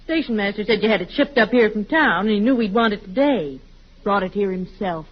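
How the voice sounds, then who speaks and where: An actress playing a fond, gently prodding wife in a 1940s radio comedy. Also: female voice, mid-range voice, expressive, fairly quick, lightly acted. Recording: muffled old radio recording.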